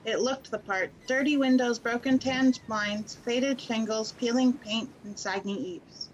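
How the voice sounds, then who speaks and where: A woman's voice: on the high side, measured pace, highs cut off, a little hiss or hum, lightly acted. A woman acting as the narrator of a radio play, reading scripted scene-setting narration. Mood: somber.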